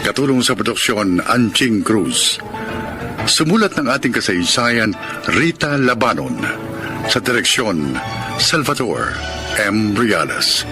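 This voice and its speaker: A man's voice: on the low side, sing-song, slow pace, music in the background. A man with a Filipino accent announcing a radio drama in a formal, scripted style, reading out credits and introductions.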